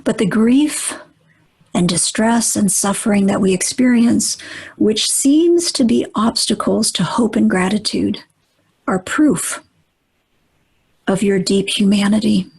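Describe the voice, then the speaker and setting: A woman leading a guided meditation in a gentle, deliberate manner, with long pauses and a breathy, husky voice that often nears a whisper. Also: medium pitch.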